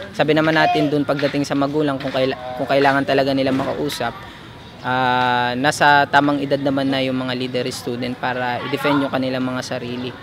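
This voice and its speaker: A young man with a Filipino accent giving a statement as a student activist. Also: mid-range voice. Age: young adult. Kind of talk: spoken statement to camera. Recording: noisy outdoor street with traffic.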